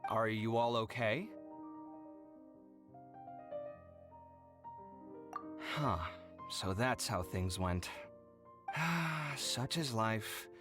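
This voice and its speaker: An actor playing an empathetic but exasperated young man in a video game, with an expressive, huffy, sarcastic delivery. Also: mid-range voice, slow. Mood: concerned, weary. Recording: music playing behind.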